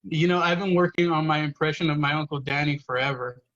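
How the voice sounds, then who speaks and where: A man's voice: a little high, steady tone, fast, a bit bright. Earnest swearing man, dull lo-fi sound. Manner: earnest, swearing, storytelling with many "you know"s. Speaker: poet guest. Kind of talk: casual podcast conversation.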